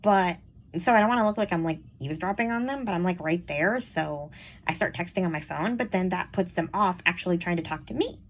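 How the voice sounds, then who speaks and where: A woman's voice: fairly low pitch, a little fast, a little hiss or hum. A woman with a childish-sounding voice, chatting casually and frankly to her viewers, rambling with lots of fillers. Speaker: vlogger. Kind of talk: casual chat to camera.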